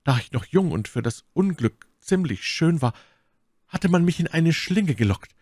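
Brief: male reader doing a proud, scheming noblewoman, raised pitch, dramatic and swooping, dry close sound. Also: expressive, normal speed, darkish tone, breathy edge, no room sound. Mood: melancholy, solemn. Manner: dramatic, pleading and scornful. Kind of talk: audiobook character reading.

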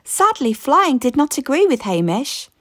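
A woman reading a children's story aloud with expressive, deliberate, dramatic narration. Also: high voice, no echo, clean studio sound. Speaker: storybook reader.

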